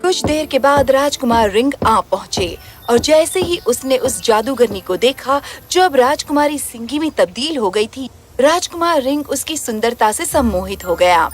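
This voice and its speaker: Female storyteller, scripted children's fairy tale narration, highish pitch, clear background music. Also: animated, normal speed.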